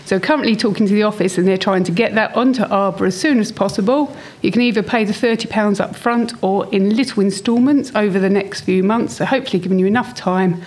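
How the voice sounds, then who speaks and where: A woman teacher speaks to parents on a school podcast. She sounds friendly and speaks clearly, with a slight nervousness in her delivery. Her voice sits at an ordinary pitch. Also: fast talker, few pauses, a little mellow. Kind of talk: informational update to parents.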